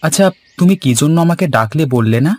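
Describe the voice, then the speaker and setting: A man's voice: on the high side, animated, fast, darkish tone. A man voicing a dutiful, earnest young son in a cartoon, speaking politely and eagerly. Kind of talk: Bengali cartoon voice acting.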